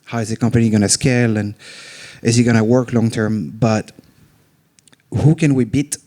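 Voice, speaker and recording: A man's voice: mid-range voice, steady tone, mellow. French-accented man on a live panel. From France. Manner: self-deprecating, speaks deliberately slowly. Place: members' club event room in front of an audience.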